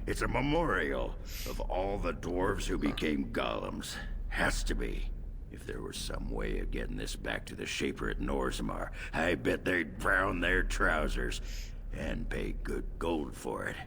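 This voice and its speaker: Game role: gruff, irreverent dwarf warrior, bottom-of-the-range pitch, heavy gravel, blunt delivery, over noisy ambience. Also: male voice, expressive, a little slow, fairly bright tone, exaggerated voice. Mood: gruff musing. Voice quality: deep gravelly growl. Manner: blunt, coarse, rough-edged. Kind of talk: video game character acting.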